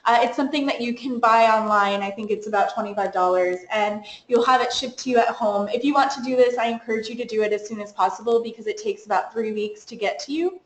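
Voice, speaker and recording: Coordinator type, American woman, brisk and practical, slightly higher voice; echoey room with a faint hiss. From United States. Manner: chatty, practical, quick advice-giving. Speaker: program coordinator. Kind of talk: webinar presentation.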